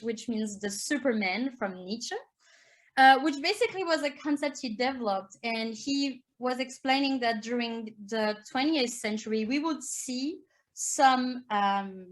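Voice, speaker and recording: A woman's voice: expressive, measured pace. A woman from France with a French accent, giving an earnest, explanatory conference talk as a primary school teacher. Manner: earnest, explanatory, rises in pitch to stress key points.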